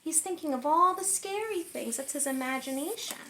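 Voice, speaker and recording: A woman's voice: high-pitched, animated, normal speed, background noise. A woman reading a picture book aloud expressively, with friendly asides to young children. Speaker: story reader for young children.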